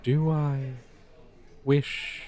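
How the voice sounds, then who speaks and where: A man's voice: medium pitch, level tone, extremely slow, a little mellow. A man voicing a proud, centuries-old dragon teacher with stilted, archaic, formal diction in an ASMR roleplay. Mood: gently amused.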